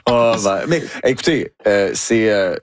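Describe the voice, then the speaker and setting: Animated Quebec French male host. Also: lowish voice, unhurried, clean tone, dry recording, studio quality. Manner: informal, interjecting. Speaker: talk show host. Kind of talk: casual talk show banter and interviewing.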